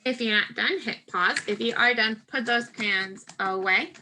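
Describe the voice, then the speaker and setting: A woman's voice: fairly high pitch, measured pace, sharp tone, slight background noise, rough recording. A woman teacher giving a step-by-step craft lesson to first graders, speaking directly to children in simple, patient instructions.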